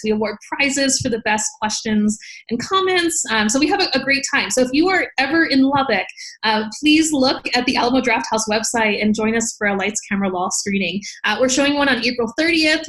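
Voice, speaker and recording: Woman, fairly high voice, animated, conversational, academic podcast guest. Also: rough recording. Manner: animated, laughs readily. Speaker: podcast guest, law professor. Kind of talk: conversational interview, explaining her research.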